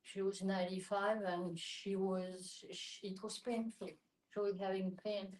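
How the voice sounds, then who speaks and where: A woman speaks candidly in a group discussion at a medium pitch. Her phrasing comes in broken pieces, blunt and emphatic. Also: flat delivery, unhurried, darkish tone, slight background noise, rough recording. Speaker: discussion participant.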